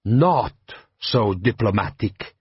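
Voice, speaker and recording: A man voicing a hearty, shrewd desert bandit chief who speaks slow, broken English. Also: fairly low pitch, expressive, slightly rough, no room sound, highs cut off, full character voice.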